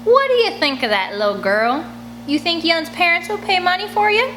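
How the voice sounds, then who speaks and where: A snarling outlaw woman from the backwoods played by a female narrator in a storybook reading. She bullies and threatens, her voice pushed up above the reader's own, over a clearly noisy recording. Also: very high-pitched, very animated, normal speed, pitched above the performer's own voice. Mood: mocking, scheming. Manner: snarling, bullying.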